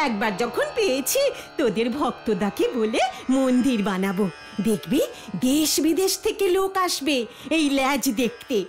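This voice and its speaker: A woman's voice: sits high, very animated, normal speed. A woman playing a devout, superstitious and stubborn cartoon housewife who gushes in dramatic pious exclamations over music. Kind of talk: exaggerated Bengali cartoon dubbing. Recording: background music under dialogue.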